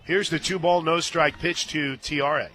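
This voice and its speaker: A man doing live radio play-by-play of a softball game, mixing pitch calls with folksy, joking asides. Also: steady tone, normal speed, background noise. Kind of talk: live softball radio commentary with casual banter. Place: outdoor stadium press booth.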